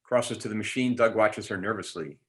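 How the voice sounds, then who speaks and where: A man's voice: lowish voice, brisk, a bit bright. A man reading script stage directions aloud at a table read.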